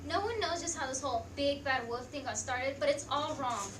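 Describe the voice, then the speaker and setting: A woman's voice: high-pitched, a little fast. A teenage girl narrating theatrically as a student stage performer. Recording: echoey room, distant mic, audience noise.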